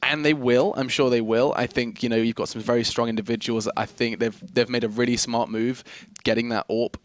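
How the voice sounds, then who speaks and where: A man hosting an esports analysis desk, quick and playfully self-mocking as he chats with his co-analyst. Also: medium pitch, little pitch movement. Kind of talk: studio desk analysis chat.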